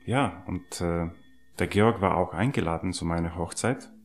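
A man's voice: deep voice, normal speed. A man recalling his personal life in a documentary interview.

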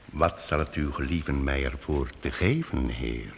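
A deep, solemn travelling minstrel master. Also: male voice, gravelly, slight background noise, exaggerated voice. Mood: ceremonious. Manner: solemn, deliberate, courteous. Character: wily, deferential travelling minstrel master, adult man. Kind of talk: old radio play acting for youth audience. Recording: radio play with long hall reverb.

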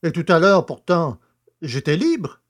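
A man performing a plain-spoken poacher behind bars in a radio play. He is naive and quick to grumble, with folksy turns and a lively, swinging pitch. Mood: indignant. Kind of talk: French audio drama acting.

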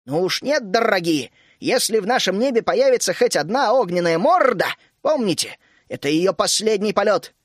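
A man voicing a bossy, hot-tempered female gnome who scolds and shouts. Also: high voice, animated, moderate pace, dry recording. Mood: furious. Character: stern bossy female gnome housewife, fiery.

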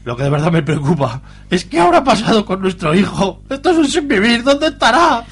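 A man's voice: high voice, big pitch swings, brisk, fairly bright tone, full character voice. A man playing a crude but affectionate young boyfriend in a comic radio soap, with a slangy Spanish accent from Spain. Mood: worried. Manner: slangy, laddish. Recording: clear background noise with hum.

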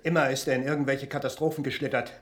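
A man's voice: medium pitch, fairly even intonation, quick pace, natural voice. An actor playing a menacing, aggrieved young man who threatens and accuses, in a radio drama. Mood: bitter, accusing. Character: menacing, aggrieved young male intruder with a knife.

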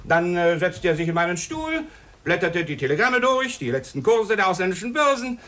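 A fussy, obliging banker in a 1950s German radio drama. His voice is high and swoops about with effusive politeness. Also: very animated, moderate pace, a bit bright. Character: fussy, obliging male banker, eager to please.

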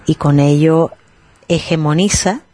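A low, mellow-voiced woman answers interview questions slowly and deliberately, pausing on fillers. The sound is dry and close. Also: no room sound. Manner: measured, deliberate, with pauses and fillers. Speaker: interviewed activist.

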